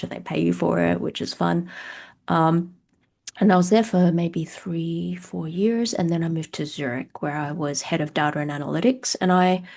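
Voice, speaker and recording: A woman's voice: low voice, creaky, darkish tone. A middle-aged woman from Australia, a company CEO answering interview questions frankly, laughing often and using many fillers over a video call. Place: at home.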